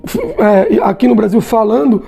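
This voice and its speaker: A man's voice: high voice, normal speed, gravelly, dark tone, slightly rough. A Brazilian man giving a casual comic book review, chatty and tagging his sentences with little check-ins. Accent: Brazilian Portuguese.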